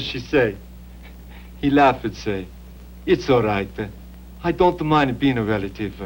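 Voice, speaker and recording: An actor playing an excitable, warm working-class Italian immigrant husband, telling stories in broken English with an Italian accent. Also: male voice, mid-range voice, slight background noise, poor audio. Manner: broken English, animated storytelling. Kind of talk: acted documentary-style interview.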